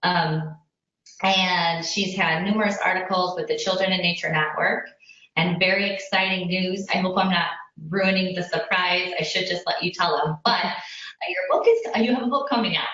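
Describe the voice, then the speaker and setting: A woman's voice: lowish voice, normal speed, a bit bright. An American woman hosting a casual online interview in an effusive, rambling way. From Indiana, United States. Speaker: host of an online parenting community chat. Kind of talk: casual video chat interview. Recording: very echoey room, distant muffled mic.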